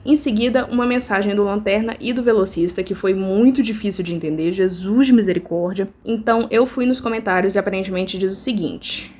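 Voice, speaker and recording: A woman with a Brazilian accent hosting an informal video commentary, explaining casually with playful asides. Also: mid-range voice.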